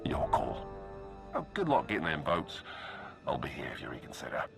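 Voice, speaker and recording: Very deep, gravelly shady boss. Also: male voice, wildly swooping pitch, moderate pace, exaggerated voice. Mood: dismissive. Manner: wry, dry-humoured, drawling menace. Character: sardonic, shady underworld boss, gruff and self-amused. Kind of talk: video game cutscene acting. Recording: music behind the voice.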